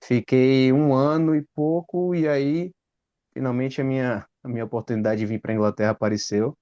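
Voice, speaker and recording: A man's voice: medium pitch, steady tone, moderate pace, dark tone, muffled recording. A man, telling his story casually with frequent tag questions. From Salvador, Brazil. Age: 30s. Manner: rambling, frequent "né?" tags. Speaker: guest.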